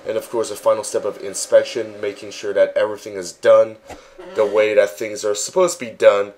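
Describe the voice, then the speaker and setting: A man with a fairly low voice guides listeners through a virtual space in a relaxed, meandering way. He pauses often with ums and uhs as he goes. A faint hiss sits under the recording. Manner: relaxed, filler-heavy, halting with frequent ums. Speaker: virtual world tour guide. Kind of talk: casual narrated walkthrough.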